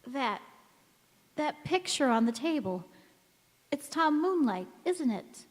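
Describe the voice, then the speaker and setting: A woman acting a mysterious, wistful young stranger in a stage radio drama, speaking in a gentle, cryptic way. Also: high-pitched, sing-song, slow pace, heavily acted. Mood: knowing, searching. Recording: echoey hall with long reverb.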